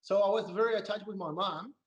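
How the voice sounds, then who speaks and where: A man's voice: high voice, quick pace, recorded from a distance, dull audio. A man from Barcelona with a Spanish accent, chatting in an easygoing, joking way as a guest. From Barcelona, Spain. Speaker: podcast guest, dance instructor. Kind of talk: casual chat.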